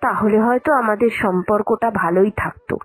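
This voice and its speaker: A woman's voice: medium pitch, a little fast, breathy edge, lightly acted. A woman voicing an elder daughter-in-law in a cartoon, earnest and emotive in manner. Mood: regretful. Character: elder daughter-in-law, earnest, sharp-tongued but soft-hearted. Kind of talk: Bengali cartoon dialogue acting.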